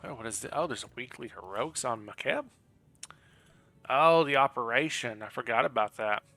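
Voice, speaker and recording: A man's voice: medium pitch, lively intonation, normal speed, pushed and bright. A man giving casual live game commentary, explaining things in a rambling, easygoing way. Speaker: game streamer.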